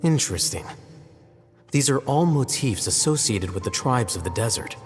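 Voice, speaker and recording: A man voicing a cool, reserved young scholar in a video game, with calm, measured and dry delivery. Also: mid-range voice, natural voice. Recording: reverberant game scene with faint music.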